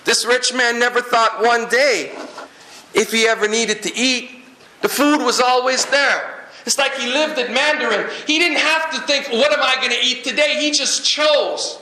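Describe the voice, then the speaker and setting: A man from Guyana preaches in a lively way, mixing jokes and asides into his sermon. His voice sits high and bright. It rings through an echoey church hall. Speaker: pastor.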